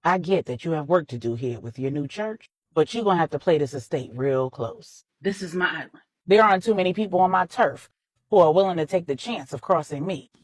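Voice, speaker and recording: Woman reading as commanding, low-voiced island crime boss. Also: lively intonation, fairly quick, no echo. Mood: firm warning.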